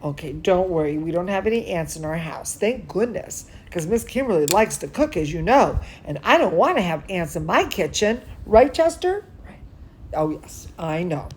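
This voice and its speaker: A woman telling bedtime stories and chatting cozily to young children in an expressive, soothing, singsong manner. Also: fairly low pitch, normal speed, a little hiss or hum, rough recording. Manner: chats playfully to a pet or companion. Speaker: dance studio teacher reading bedtime stories to children. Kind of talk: children's bedtime storytelling and cozy chat.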